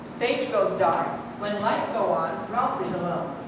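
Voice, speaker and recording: A woman's voice: fairly low pitch, slow. A woman reading stage directions as scripted narration at a staged play reading. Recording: very echoey hall, distant mic, clear noise.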